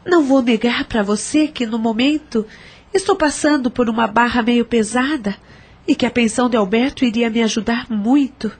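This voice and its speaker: Troubled Brazilian mother confessing her regrets, played by an actress, high voice, earnest audio drama. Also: moderate pace, darkish tone, husky. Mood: confessing, regretful. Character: troubled, remorseful adult woman, estranged mother confessing.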